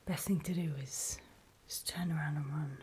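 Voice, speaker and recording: A woman's voice: deep voice, steady tone. Woman acting a whispering, wary apocalypse survivor. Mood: hushed, wary warning. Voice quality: soft, breathy whisper. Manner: slow, hesitant, confiding, with pauses. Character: wary, paranoid female survivor of a zombie apocalypse. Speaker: ASMR roleplay performer. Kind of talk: whispered horror ASMR roleplay.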